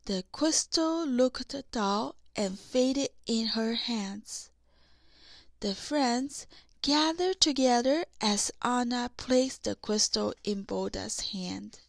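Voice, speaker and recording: A woman reads a children's story aloud as an audiobook narrator. Her voice is high and she takes the text very slowly, with a dry sound free of room echo. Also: gravelly. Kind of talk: slow scripted children's story read-aloud.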